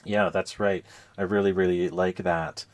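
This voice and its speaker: Deep-voiced man chatting on a podcast. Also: little pitch movement, measured pace. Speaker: podcast host. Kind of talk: casual chat.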